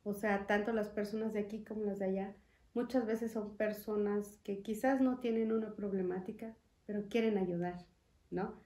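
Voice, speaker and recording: A woman's voice: mid-range voice, fairly even intonation, dark tone. Earnest young woman in an echoey studio. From Mexico, Chiapas. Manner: earnest, measured, frequent "eh" fillers. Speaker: interview guest, foundation representative. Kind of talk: conversational interview. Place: studio room with wood panels and glass. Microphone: desk mic on stand. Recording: echoey room.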